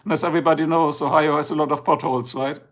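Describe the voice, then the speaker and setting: German-accented male streamer, gravelly and a touch high, rambling and sleepy. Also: level tone. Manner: rambling, chatty, slow and drowsy at times. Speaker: livestream host. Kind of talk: casual live-stream chat. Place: indoors, filming a tablet slideshow.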